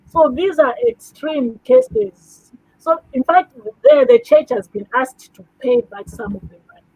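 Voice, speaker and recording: A woman's voice: high-pitched, sing-song, a little slow, no echo. A woman guest on a talk show, chatting in a rambling, emphatic way and repeating words as she goes. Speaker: pastor's wife guest. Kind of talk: casual talk show chat. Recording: tinny phone-like call audio.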